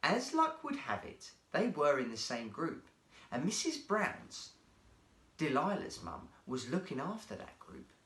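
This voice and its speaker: A man's voice: on the high side, unhurried. Guy reading a kids story, voice goes up and down loads, bit echoey. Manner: animated, theatrical storytelling. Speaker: guest storyteller. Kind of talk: expressive children's story narration. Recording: roomy, echoey sound.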